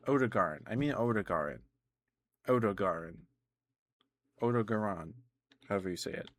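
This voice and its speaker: A man's voice: lowish voice, animated, normal speed. A man live streaming casual, rambling ASMR chat about games, often hesitating and correcting himself.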